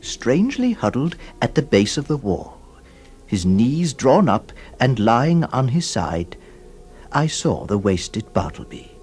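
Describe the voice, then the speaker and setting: Slow, solemn, troubled lawyer narrator. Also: male voice, medium pitch, very animated, a little mellow, soft background music. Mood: hushed sorrow. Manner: solemn, halting, deliberate phrasing. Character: troubled, compassionate male lawyer recounting a sad history. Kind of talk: dramatic radio narration, literary.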